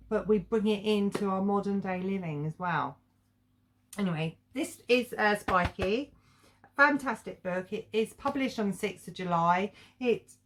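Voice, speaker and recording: A middle-aged woman giving a casual, chatty review to viewers in an informal, rambling, conversational way. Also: mid-range voice. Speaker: children's book reviewer and vlogger.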